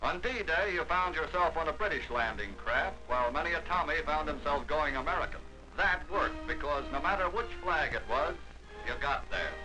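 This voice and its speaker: A man narrating a 1940s war documentary with a fairly high voice and a measured, declamatory newsreel delivery. A full film score plays clearly beneath him. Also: expressive, music in the background, poor audio.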